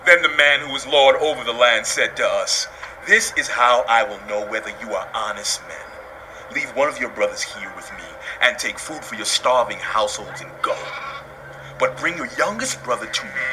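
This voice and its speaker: An actor playing a remorseful, earnest brother from ancient Canaan in an audio Bible drama, speaking gravely. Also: male voice, medium pitch, lively intonation, moderate pace, music in the background, close to an everyday voice, rough recording.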